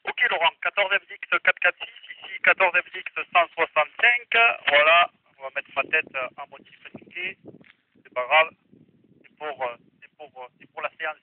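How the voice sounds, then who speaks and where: A man with a slightly high voice talks casually over a walkie-talkie as a radio operator. His voice comes through narrow and tinny, as on a phone line. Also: moderate pace, noisy background. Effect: walkie-talkie radio transmission. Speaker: radio operator, remote contact. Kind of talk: casual two-way radio chat.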